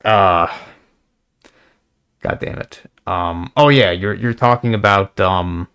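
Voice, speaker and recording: Young man, slightly hoarse, low-ish smooth voice, halting casual monologue, lots of ums. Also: a bit bright. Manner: halting, many fillers and restarts; casual and profane. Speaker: video response creator.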